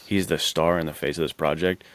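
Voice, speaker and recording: Male podcast co-host, extremely deep voice, casual film chat, discursive and halting. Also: fairly even intonation, no echo. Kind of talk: casual chat about films.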